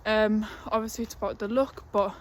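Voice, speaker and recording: A woman's voice: medium pitch, flat delivery, fairly quick, a little hiss or hum. A twenty-year-old woman, chatting casually in a fitness vlog with fillers and laughs, a little breathless from walking uphill. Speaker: fitness vlogger. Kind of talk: casual vlog talk to camera. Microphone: phone held at arm's length.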